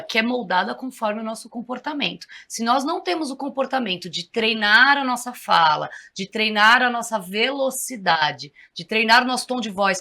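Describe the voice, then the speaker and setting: A woman's voice: fairly high pitch, sharp tone. A Brazilian woman who mentors in communication, explaining things as a guest on a talk show in a didactic, teacherly way. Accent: Brazilian Portuguese.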